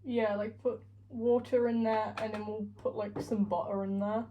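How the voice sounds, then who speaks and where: A woman teasing her friend with quick wisecracks while baking in a home kitchen. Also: medium pitch, little pitch movement, lo-fi sound, slight background noise. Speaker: co-host baking for a video. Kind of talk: casual chat.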